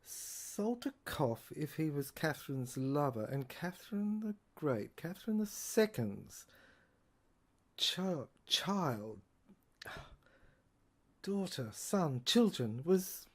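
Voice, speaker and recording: A woman playing a rambling, sardonic lab technician who lectures haltingly in an audio drama, repeating and correcting herself and asking rhetorical questions. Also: sits low, very animated, full character voice. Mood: musing, halting. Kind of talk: improvised-sounding lecture monologue in an audio drama.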